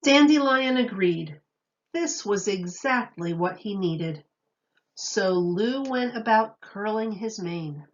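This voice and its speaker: A woman teacher reading a children's story aloud, expressively, with deliberate, emphatic phrasing. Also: mid-range voice, lively intonation, highs cut off.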